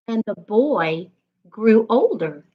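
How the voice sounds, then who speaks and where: A woman narrating a children's storybook aloud as a reading coach, with deliberate, expressive phrasing. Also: on the high side, lo-fi sound.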